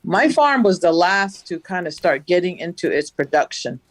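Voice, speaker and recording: A woman from the Navajo Nation, a farmer, recounting events in a studio interview with a loud voice and an animated telling. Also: lowish voice, moderate pace, dry recording. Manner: animated, acts out the dialogue she recounts. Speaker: farmer, guest.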